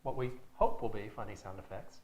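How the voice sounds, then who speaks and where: A man's voice: lowish voice, brisk, mellow. An American man chatting as an interview guest, hesitant and a little self-deprecating. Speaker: theatre director, interview guest. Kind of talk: casual chat. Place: indoor room, television interview. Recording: echoey room with background noise.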